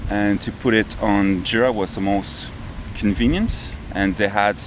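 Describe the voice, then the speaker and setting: A lower-voiced man from Canada talks through his story in a halting way, with slightly non-native phrasing. Street noise and passing vehicles sit clearly behind him. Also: steady tone. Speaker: software developer guest. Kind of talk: casual interview answers. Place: outdoors near a river and traffic.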